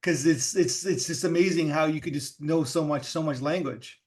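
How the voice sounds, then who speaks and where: A male podcast host with a slightly high voice chats casually with his guest. His questions wander and restart often, loose and conversational. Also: moderate pace, darkish tone. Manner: rambling questions with many restarts. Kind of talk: casual interview chat.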